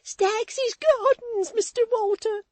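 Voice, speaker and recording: A reader voicing a frantic young female servant who wails and pleads in a shrill, tearful voice. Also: slow, dry recording. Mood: frantic wailing. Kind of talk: audiobook character voice.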